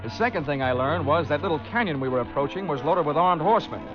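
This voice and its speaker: An actor playing a wry, wisecracking private investigator in a 1940s radio drama, with a dry, sardonic American delivery. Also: male voice, fairly high pitch, expressive, fast, music in the background, close to an everyday voice. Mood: wry narration. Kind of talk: 1940s radio acting and narration. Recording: dull vintage radio recording.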